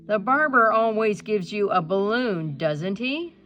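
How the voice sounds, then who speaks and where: A woman's voice: mid-range voice, animated, measured pace, lo-fi sound, faint music behind, natural voice. A woman voicing an exasperated, worried mother in a read-aloud storybook, emphatic and protesting. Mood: suspicious, firm.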